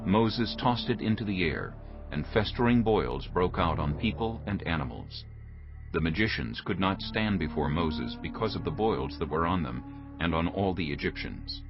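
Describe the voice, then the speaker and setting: Low-voiced male scripture narrator. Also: animated, moderate pace. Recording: phone-like narrow band, prominent music.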